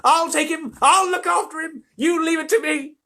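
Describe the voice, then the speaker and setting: A reader shouting as an excitable old grandfather. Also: moderate pace, exaggerated voice. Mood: elated. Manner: shouting, overjoyed, high and strained.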